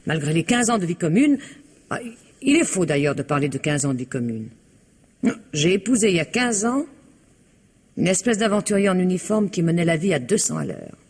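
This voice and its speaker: A husky-voiced, guarded wife. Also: female voice, lowish voice, sing-song, brisk, full character voice. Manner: guarded, emotional, sometimes dropping to a hush. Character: reticent woman whose husband vanished, proud and strained. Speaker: wife of a missing man. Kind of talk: 1960s French radio drama acting.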